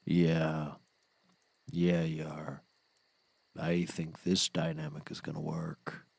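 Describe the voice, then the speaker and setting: A man's voice: a bass voice, level tone, slow, creaky, a little mellow, husky, dry recording, heavily acted. A man playing a brash, scheming, theatrical sidekick in a scripted audio comedy, bossy and mock-grand as he teases. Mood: boastful, patronizing.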